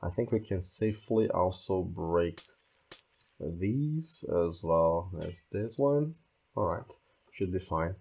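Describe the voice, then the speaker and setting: A hoarse man who is losing his voice, walking through a game build step by step and thinking aloud as he goes. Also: sits low, expressive, takes it slowly, darkish tone, dull audio. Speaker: game tutorial creator. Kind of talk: screen-recorded building tutorial.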